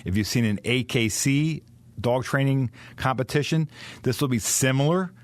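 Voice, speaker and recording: A man's voice: mid-range voice, moderate pace. A man, a professional dog trainer, explaining dog competitions in detail as a radio interview guest. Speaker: dog trainer, kennel owner.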